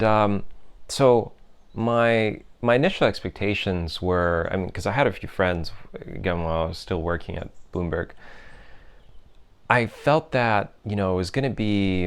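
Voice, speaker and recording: Deep smooth-voiced man reflecting. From Europe, raised in several countries. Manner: thoughtful, unhurried, many fillers and restarts. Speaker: host. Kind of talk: reflective personal monologue.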